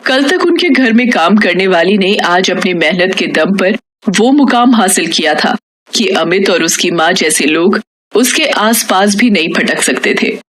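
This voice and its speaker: A woman's voice: medium pitch, animated, a little slow, a bit bright. A woman reading a scripted moral tale as storyteller, in narration.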